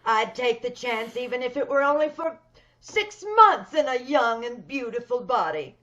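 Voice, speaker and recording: A woman's voice: fairly high pitch, lively intonation, normal speed, a bit bright. A woman playing a bitter, wealthy, commanding woman in an old-time radio drama. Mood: determined. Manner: cold, insistent, commanding. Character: wealthy, determined, bitter ugly woman scheming for a new body. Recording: dull video-call audio.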